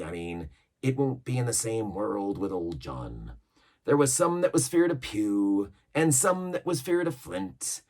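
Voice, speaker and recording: A man's voice: fairly low pitch, darkish tone, pitched above the performer's own voice, full character voice. A man voicing a scheming older pirate cook who talks in a coaxing, boastful seaman's way.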